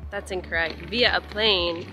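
A woman's voice: fairly low pitch, fairly even intonation, unhurried, sharp tone, poor audio. Young female travel vlogger from Canada, stuffy with a cold, chatty and upbeat, handheld camera, music bed underneath. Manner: chatty, upbeat, addressing viewers directly. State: congested with a cold. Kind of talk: casual travel vlog. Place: on the go outdoors, airport and hostel room. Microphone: handheld camera at arm's length.

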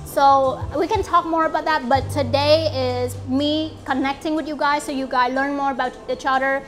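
A woman in her late twenties from Vietnam with a Vietnamese accent, speaking earnestly in halting English with fillers as she hosts a small gathering in an apartment lounge. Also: high-pitched, steady tone. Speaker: event host and company founder. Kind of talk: informal speech to a small group. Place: bright apartment lounge, indoors.

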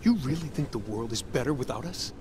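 A man's voice: mid-range voice, expressive, brisk, background noise, lightly acted. A man playing a bitter, guilt-ridden middle-aged scientist, intense and accusatory, in a video game. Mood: accusing. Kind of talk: cinematic video game acting.

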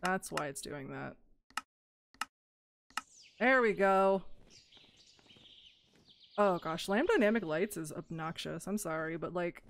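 A young woman casually commentating her Minecraft let's play, excitable and gushing over cute animals, a little hoarse. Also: on the low side, takes it slowly, long pauses, a little hiss or hum. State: slightly hoarse. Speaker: gaming YouTuber.

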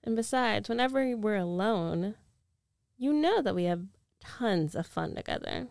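A woman acts out a domineering, wealthy young ex-girlfriend in an ASMR roleplay. She speaks in a medium-pitched voice, coaxing and condescending, sure of getting what she wants. The sound is intimate and dry, close to the mic. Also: expressive, gravelly, darkish tone, no room sound. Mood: possessive, insistent. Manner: slow, coaxing, self-assured, condescending. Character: rich, domineering, possessive young ex-girlfriend coaxing her lover back. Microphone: close microphone, dry.

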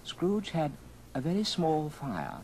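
A man's voice: on the high side, wildly swooping pitch, slow pace, darkish tone, a little hiss or hum, low-quality recording. A man narrating a story in dramatic, scripted old radio style. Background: music.

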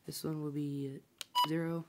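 Man muttering over loud noise and beeps. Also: a little high, little pitch movement, measured pace. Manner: muttering to himself, reading out digits in short fragments. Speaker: hobby video maker. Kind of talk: casual hands-on setup narration. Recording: very noisy handheld home recording with beeps. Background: loud noise, button clicks and electronic beeps.

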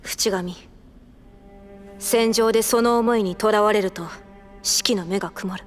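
An actress voicing a stern male carrier captain, measured and commanding, over music. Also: medium pitch, fairly even intonation, lightly acted. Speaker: narrator and captain.

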